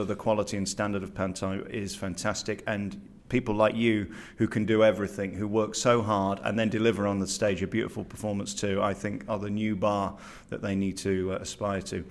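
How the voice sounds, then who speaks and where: A man interviewing in an enthusiastic, effusive and emphatic way about theatre. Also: on the low side.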